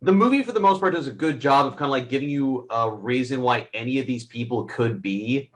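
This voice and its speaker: A man in a casual, unscripted film review, speaking haltingly and groping for his words. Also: medium pitch.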